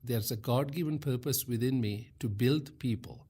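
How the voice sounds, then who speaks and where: Man, middle-aged, from Sri Lanka, podcast guest, earnest, measured phrases, mid pitch. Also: dark tone, slightly rough. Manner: thoughtful, speaks in short phrases with pauses and fillers. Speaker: guest, leadership coach. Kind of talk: earnest interview answers.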